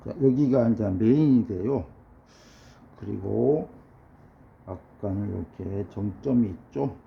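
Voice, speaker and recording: A hoarse, middle-aged man with a cold gives a measured ink-painting lesson while he paints. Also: on the low side. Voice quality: gravelly. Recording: dull lo-fi sound with faint noise.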